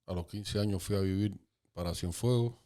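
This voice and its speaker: A Cuban man in his fifties, a podcast guest calmly recalling his life story in casual conversation. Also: deep voice. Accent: Cuban Spanish. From Cuba. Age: 50s. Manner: calm, unhurried, recalling dates and places.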